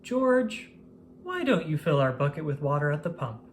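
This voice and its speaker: A storybook narrator voicing a friendly, caring man who gently instructs his little companion. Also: mid-range voice, sing-song, moderate pace, slight background noise, natural voice. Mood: friendly, helpful.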